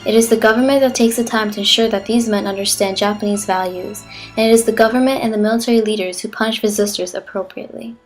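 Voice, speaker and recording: A woman's voice: medium pitch, fairly even intonation, fast talker, natural voice. A woman playing an earnest, patriotic wartime newsreel narrator, reading a formal script in a declarative way. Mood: earnest, solemn. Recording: music behind the voice. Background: music.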